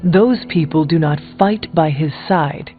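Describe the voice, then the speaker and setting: An actor playing a stoic, formal warrior hunter in a video game, speaking bluntly in a measured way. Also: male voice, fairly high pitch, phone-quality sound, lightly acted. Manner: measured, formal, without contractions. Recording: thin band-limited audio, faint music.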